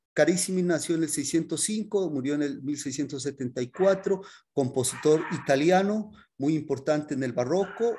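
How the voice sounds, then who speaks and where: A man teaching a music history class in an informal, halting explanatory way, often checking in with his students. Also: fairly high pitch, a little mellow, slight background noise.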